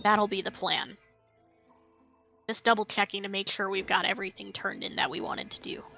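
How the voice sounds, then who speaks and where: A woman's voice: medium pitch, flat delivery, normal speed, vocal fry, pushed and bright, highs cut off, faint music behind. A woman livestreaming a game, chatting casually and cutely to her viewers as she plays. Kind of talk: casual livestream chat while playing.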